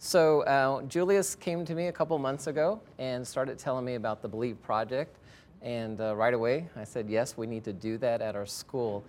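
A man gives explanatory interview answers as a school educator. His voice sits at a middle pitch and he speaks plainly, repeating phrases for emphasis. A faint rumble runs underneath. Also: steady tone, normal speed, a little mellow.

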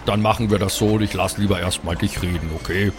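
A man's voice: deep voice, fairly even intonation, fast, music in the background, lightly acted. A man playing a worried, fatherly adventurer in an improvised tabletop audio drama, speaking in a hesitant, apologetic, rambling way. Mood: hesitant, cautious.

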